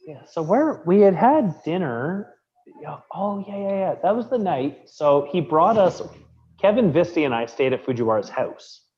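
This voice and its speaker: A man co-hosting a casual live-stream chat, talking in a relaxed, rambling way with lots of fillers and restarts. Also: fairly high pitch, moderate pace, dull audio. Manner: rambling and relaxed, with many fillers and self-corrections. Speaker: co-host of a knife shop Q&A stream.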